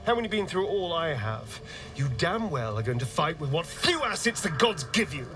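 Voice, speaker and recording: An actor playing a vain, wounded male vampire rogue in a video game, with an arch, theatrical, halting delivery. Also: a little high, sing-song, over music. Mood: bitter, arch.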